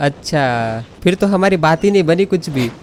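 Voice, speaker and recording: A woman's voice: deep voice, animated, very fast, darkish tone, slight background noise. A woman with an Indian accent, a native Hindi speaker, giving casual, amused let's-play commentary as a gaming host. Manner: amused, often chuckling.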